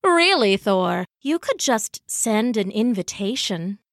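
A woman's voice: medium pitch, expressive, slow, fairly bright tone, dry recording, studio quality. A woman voicing a sly, jealous male trickster god in a storybook myth, flippant and taunting.

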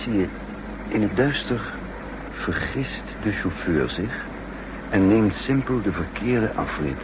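An actor playing a cool, resourceful captured spy in a radio drama, calmly and wryly explaining things. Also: male voice, fairly low pitch, takes it slowly. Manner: calm, wry, explaining patiently. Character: cool, resourceful captured male spy narrating his story. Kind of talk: 1960s Dutch radio drama acting. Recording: noisy 1960s radio drama recording.

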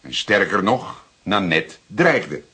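An actor playing a seasoned older police detective in a Dutch radio drama, explaining things deliberately with dramatic pauses. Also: male voice, low voice, sing-song, sharp tone, slightly rough, full character voice. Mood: grave. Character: seasoned older male police detective, calm, plain-spoken storyteller.

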